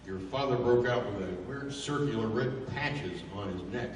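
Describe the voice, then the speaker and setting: A man's voice: mid-range voice, normal speed, far from the mic, natural voice. Tough, informal pulp adventurer acted by a man, 1930s radio serial style, echoey recording with faint noise. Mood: baffled. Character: plainspoken, tough adventurer sidekick, ready with fists.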